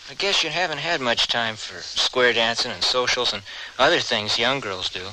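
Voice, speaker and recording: A man's voice: mid-range voice, normal speed, pushed and bright. A man playing a regretful, folksy young ex-convict cowboy in an old-time radio western. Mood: gentle curiosity. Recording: slightly noisy radio drama recording.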